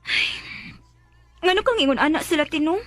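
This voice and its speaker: A woman's voice: sits high, expressive, normal speed, a bit bright, no echo. A Filipino woman with a Cebuano accent acting a wounded, tender young woman in a radio drama, plaintively. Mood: plaintive, hurt. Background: music.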